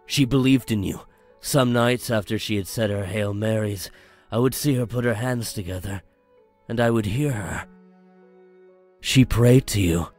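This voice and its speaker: A man's voice: on the low side, a little mellow. An actor playing a grateful middle-aged father in a visual novel, speaking earnestly and with emotion. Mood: awed. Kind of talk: dramatic visual novel acting.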